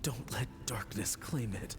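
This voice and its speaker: A man acting a solemn, slow-spoken father, heavy reverb. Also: mid-range voice, natural voice. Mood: solemn, tender. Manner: solemn, measured, tender. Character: gentle father saying a solemn farewell to his child. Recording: long reverb over clear background noise.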